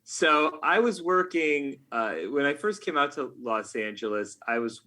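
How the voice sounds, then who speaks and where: A man's voice: on the high side, lively intonation, unhurried, bright, forceful tone. A man talking casually as an interview guest, telling stories in a jokey, self-deprecating way. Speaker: podcast guest, game writer.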